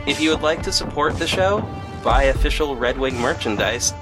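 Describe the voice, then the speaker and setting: A man's voice: on the high side, animated, moderate pace. A man who writes, directs and produces the show, reading its closing credits in a plain, friendly way. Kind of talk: credits read for a podcast. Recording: clear music bed.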